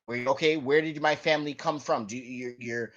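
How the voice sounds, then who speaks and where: A man's voice: medium pitch, steady tone, brisk, fairly bright tone, highs cut off. A man from Canada in a heated informal debate, speaking emphatically and combatively, often repeating himself and swearing. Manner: emphatic, repetitive, combative, profane. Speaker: panelist on an online debate show.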